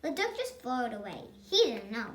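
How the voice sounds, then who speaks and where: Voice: mid-range voice, big pitch swings, normal speed, fairly bright tone, slight background noise. A child reading a picture book aloud expressively, with chatty asides. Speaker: storybook reader.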